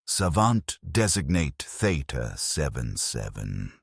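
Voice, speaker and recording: A man voicing a cold, commanding male cyborg interrogator who gives flat, curt orders in an audio story. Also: extremely low pitch, takes it slowly, gravelly, husky, no echo, clean studio sound, exaggerated voice.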